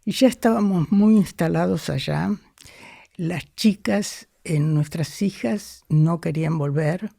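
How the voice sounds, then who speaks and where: A woman, talking slowly and thoughtfully as a guest in an interview. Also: on the low side, creaky, mellow, dry recording, clean studio sound. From Argentina. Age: 80s. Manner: unhurried, thoughtful, frequent hesitations. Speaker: guest, psychoanalyst. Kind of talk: reflective interview conversation.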